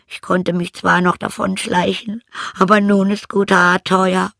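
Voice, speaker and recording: A gloomy old storybook cat, put on by a narrator, grumbling in a high, loud voice. She sounds sulky and sorry for herself as she complains. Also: little pitch movement, unhurried, vocal fry, dry recording, studio quality. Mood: gloomy, complaining.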